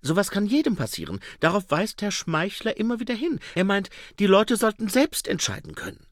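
A man doing a slippery street hawker whose voice climbs high and bounces with eager, wheedling patter. Every line is a sales pitch. The sound is close and dry. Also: wildly swooping pitch, a little fast, no echo. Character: shifty fast-talking male street hawker. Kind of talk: audiobook reading.

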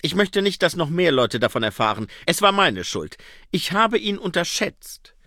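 A man's voice: fairly high pitch, sing-song, moderate pace, sharp tone, no room sound, clean studio sound, pitched above the performer's own voice. A man voicing an earnest young watchwoman. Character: dutiful young female watch sergeant. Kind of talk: audiobook reading.

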